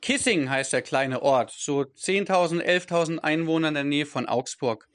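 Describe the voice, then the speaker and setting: A man in his thirties from Germany talks as an interview guest over a video call. His voice sits high. He rambles, pauses with fillers and often corrects himself mid-sentence. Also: a bit bright. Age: 30s. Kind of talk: conversational interview.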